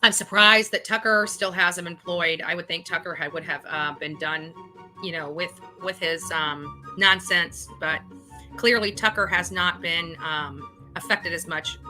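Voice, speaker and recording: A woman's voice: on the low side, fairly even intonation, measured pace, pushed and bright, soft background music, rough recording. A woman speaking off the cuff in an indignant, emphatic, self-justifying way, like a vlog host. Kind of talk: unscripted monologue to camera.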